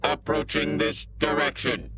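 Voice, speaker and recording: An actor playing a hostile combat robot in a video game, making flat mechanical announcements. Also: male voice, fairly high pitch, a little slow, close to an everyday voice. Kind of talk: video game cutscene acting. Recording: music behind the voice.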